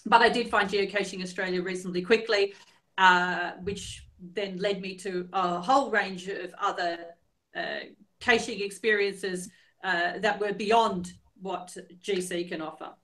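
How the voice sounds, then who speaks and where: Female guest, bright sharp tone, relaxed explainer. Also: mid-range voice, a little slow. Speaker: podcast guest. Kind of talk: casual interview chat.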